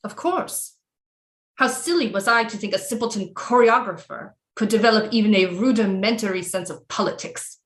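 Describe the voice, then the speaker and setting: A woman's voice: mid-range voice, moderate pace, natural voice. A woman playing an imperious, lovelorn French queen in a theatrical period audio drama, with haughty, grandiose formal diction. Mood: haughty, scornful.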